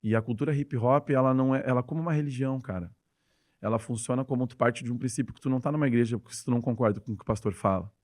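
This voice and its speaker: A man's voice: medium pitch, a little mellow. A man with a gaúcho Brazilian accent, a dance teacher telling stories with enthusiasm as a podcast guest. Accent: Gaúcho Brazilian Portuguese. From Rio Grande do Sul, Brazil. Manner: enthusiastic, rapid storytelling. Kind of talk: casual interview chat.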